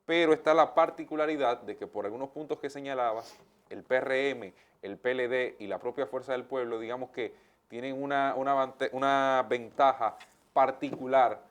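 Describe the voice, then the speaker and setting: A man's voice: medium pitch, moderate pace. A man with a Dominican accent interviewing on a political show, explaining context before putting his question. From Dominican Republic.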